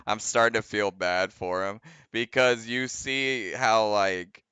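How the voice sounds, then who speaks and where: Low, crisp-voiced man reacting live. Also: slow, bright, forceful tone, no echo. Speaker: host of a live reaction show. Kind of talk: casual, profane live commentary on a stream.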